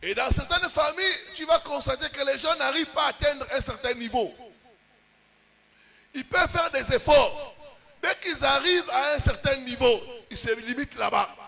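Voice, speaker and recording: Pastor guy preaching, really high bright voice, gravelly fry, punchy bursts then slow drops. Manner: preaching with rising, emphatic bursts and drawn-out pauses. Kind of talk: sermon.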